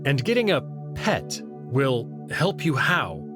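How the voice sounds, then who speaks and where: An incredulous young human captive from a visual novel, acted out by a man. He questions with indignant frustration in a mid-range voice that rises and falls freely. Music plays clearly underneath. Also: mid-range voice, big pitch swings, slow pace. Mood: sceptical.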